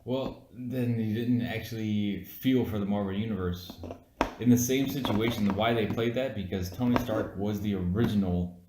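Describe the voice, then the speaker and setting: A man with a fairly deep voice chats casually as a podcast co-host. He is blunt and quick to argue, with plenty of swearing. The room around him rings with clear echo. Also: noisy background. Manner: blunt, argumentative, swears freely. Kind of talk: casual movie review chat. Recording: echoey room.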